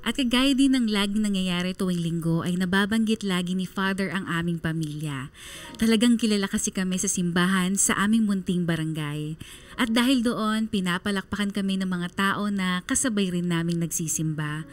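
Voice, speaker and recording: Actress as a wistful young Filipino daughter narrating. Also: female voice, mid-range voice, normal speed, close to an everyday voice. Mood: sad, reflective. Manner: wistful, confiding. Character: sad young daughter narrating her family's hidden troubles. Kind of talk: scripted radio drama narration.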